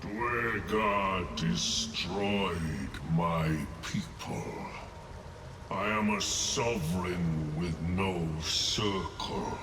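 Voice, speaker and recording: An actor playing a solemn, exiled male mushroom-creature ruler in a game, speaking in slow, cryptic, chant-like phrases. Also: deep voice, background noise, full character voice.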